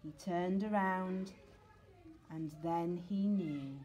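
A woman reading a children's picture book aloud, deliberate and expressive. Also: on the low side. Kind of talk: children's storybook narration.

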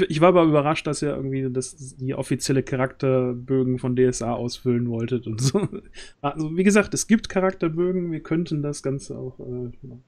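A man in his thirties hosting a casual livestream, speaking haltingly as he searches for words into a close microphone. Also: medium pitch, a little mellow. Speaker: stream host. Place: indoor home streaming setup. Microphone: close studio microphone on arm.

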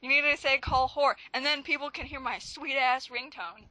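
A woman's voice: high voice, fairly even intonation, normal speed, pushed and bright, slight background noise, poor audio. A woman co-hosting in casual, teasing, sweary banter. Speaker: video co-host.

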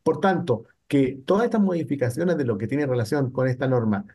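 A man's voice: mid-range voice, animated, brisk, mellow, breathy edge. A man in his thirties with a Chilean accent, a lawyer giving expert comment on a video call. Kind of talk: expert interview.

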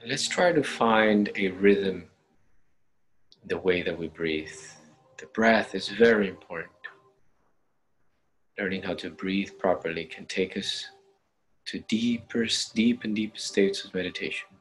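A man leading a guided meditation, giving gentle, unhurried step-by-step instructions with pauses. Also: deep voice, a little hiss or hum, poor audio.

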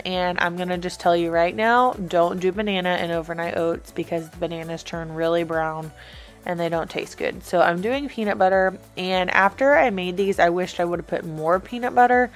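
A woman's voice: lowish voice, normal speed. A woman giving a chatty, informal voice-over as a vlogger explaining meal prep. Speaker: vlogger, host. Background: background music.

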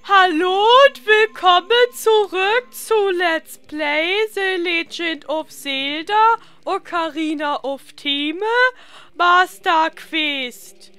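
Young female let's play host, high-pitched, unhurried, playful and teasing. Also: sharp tone, no echo. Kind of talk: casual gaming commentary. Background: video game music.

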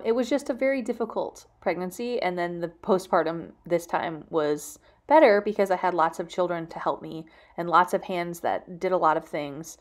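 Woman, thirties, slightly low pitch, casual question-and-answer vlog, reflective, explanatory, candid. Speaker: homemaking vlogger.

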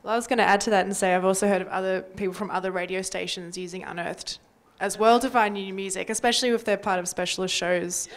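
A woman's voice: medium pitch, steady tone, fast talker. A young adult woman with an Australian accent giving practical advice in panel talk on a handheld microphone. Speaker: community radio panelist. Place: indoor panel event.

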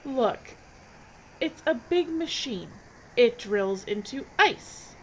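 A woman acting a brisk, sardonic, no-nonsense film director in an audio drama. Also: a little high, expressive. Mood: brisk, bossy. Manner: bossy, wry. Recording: dull, slightly noisy recording.

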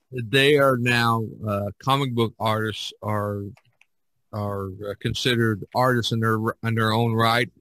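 A man's voice: fairly low pitch, fairly bright tone, no echo, rough recording. Older man chatting, close dry sound. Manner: rambling, anecdotal, repeats phrases. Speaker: podcast host. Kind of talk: casual podcast discussion.

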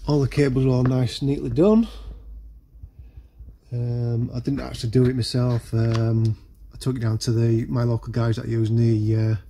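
A man's voice: mid-range voice, level tone, normal speed, mellow, a little hiss or hum. A man giving casual, hesitant vlog commentary, thinking aloud as he works inside a car. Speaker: vlogger showing a DIY vehicle project.

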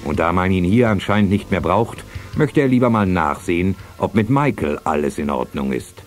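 A man's voice: sits low, expressive, normal speed. A man narrating a scripted radio play as its storyteller. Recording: music behind, cassette radio play.

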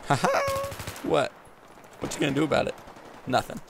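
A man's voice: mid-range voice, brisk, gravelly. A young American man giving casual, slangy gameplay commentary, exclaiming and muttering to himself as he plays. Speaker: gamer recording a playthrough. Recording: gunfire and game noise behind voice. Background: video game gunfire and explosions.

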